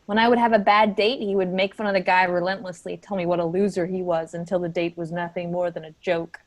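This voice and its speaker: A woman's voice: lowish voice, little pitch movement, fast talker, no room sound, lightly acted. A woman playing a sensitive, guilt-ridden and defensive office worker in a staged play reading. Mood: wistful.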